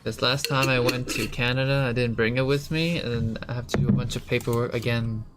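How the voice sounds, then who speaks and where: A man chatting casually as he live-streams a game, halting and repeating himself with mumbled asides. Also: medium pitch, steady tone, very noisy. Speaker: gaming streamer. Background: music and keyboard typing.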